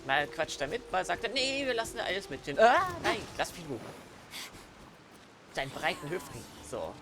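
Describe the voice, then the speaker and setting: German guy doing a chatty lets play, gravelly fry, up and down intonation, music behind. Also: high-pitched, big pitch swings, normal speed. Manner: rambling, teasing banter with a co-player. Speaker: let's play host. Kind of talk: casual gameplay commentary. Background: game music.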